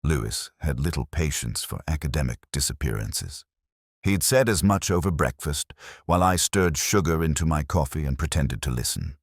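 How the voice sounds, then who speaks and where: A man giving a measured, reflective first-person narration of a detective story in a literary style. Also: rumbling low, expressive, breathy edge, dry recording, clean studio sound.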